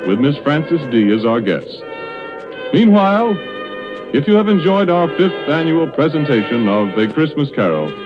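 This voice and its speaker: Old time american radio announcer guy, highish voice, really animated, polished sales delivery, muffled 1930s sound.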